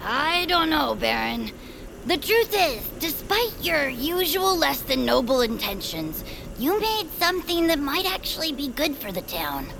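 A woman acting an eager, loyal, adventurous young friend with a gravelly voice and earnest, folksy, old-fashioned slang in an audio drama. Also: sits high, big pitch swings, measured pace, noisy background, heavily acted. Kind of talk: audio fiction acting in a quaint old-radio manner.

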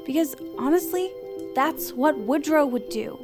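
Devoted young confidante, high voice, casual, quirky, audio drama, clear music behind. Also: female voice, expressive, a little slow. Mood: supportive, playful.

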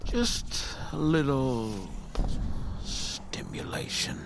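An actor playing a sinister, teasing horror storyteller with a gravelly, insinuating, menacing delivery in an audio drama. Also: male voice, medium pitch, sing-song, drawn-out words, noisy background, heavily acted. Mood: sly, insinuating. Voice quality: gravelly, breathy, whispery in places. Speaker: horror anthology host. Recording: music behind voice.

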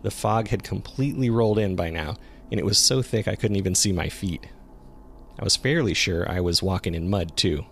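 A man playing a jogger who recounts a frightening experience in the woods, as scripted first-person horror narration told in a measured, suspenseful way. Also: deep voice, creaky, fairly bright tone, slight background noise. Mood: measured, uneasy. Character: local male jogger recounting a terrifying discovery in the woods. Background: ambient sound effects.

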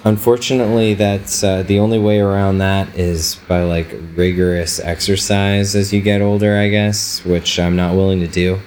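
A man co-hosting a podcast, a wedding photographer talking in a relaxed, rambling way with many fillers, his voice not fully recovered from laryngitis. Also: low-pitched, moderate pace, hardly any pauses, a little hiss or hum. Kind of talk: casual chat.

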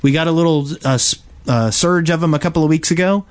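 A man's voice: a little high, quick pace. Man co-hosting a casual podcast. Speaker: podcast co-host. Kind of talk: casual group podcast chat.

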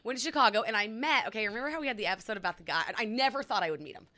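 A woman's voice: fairly high pitch, expressive, racing, pushed and bright. A woman in her thirties co-hosting a podcast, telling stories in a chatty, rambling way with lots of fillers. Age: 30s. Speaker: podcast co-host. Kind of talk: casual chat.

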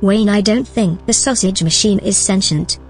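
A woman playing a calm, helpful, gently teasing artificial intelligence in a naturalistic audio drama. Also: medium pitch, moderate pace, soft background music, natural voice. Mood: earnest. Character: calm, obliging, playful female artificial intelligence.

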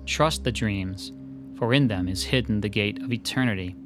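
A man's voice: on the low side, moderate pace, over music, natural voice. An actor playing a polite, slightly hesitant male sketch artist in a radio drama. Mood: reading aloud.

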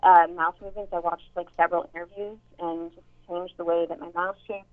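A young woman with a somewhat deep, low voice talks about her acting work in a loose, chatty way, full of "um" and "like". The sound is thin and tinny, like a phone line. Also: flat delivery, fairly quick. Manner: chatty, many fillers and restarts. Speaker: actress and voice actor. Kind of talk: casual interview chat.

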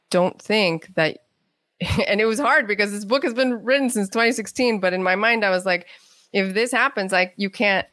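A woman's voice: lowish voice, a bit bright, no room sound, studio quality. A middle-aged woman, an author being interviewed, telling stories in a conversational way. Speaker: guest author and playwright.

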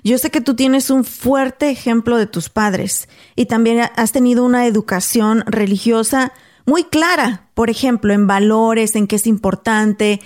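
A woman's voice: medium pitch, darkish tone. A woman from Mexico hosting a casual podcast chat, earnest and conversational. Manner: earnest, conversational, laughs easily. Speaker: podcast host.

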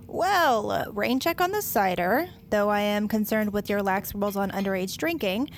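A woman playing a chatty, sardonic but loyal teenage girl in a naturalistic audio drama, with wry, expressive delivery. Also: mid-range voice, normal speed, slight background noise, lightly acted. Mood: wry, breezy.

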